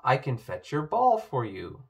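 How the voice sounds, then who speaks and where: A man doing a croaking, polite talking frog. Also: medium pitch, wildly swooping pitch, measured pace, full character voice.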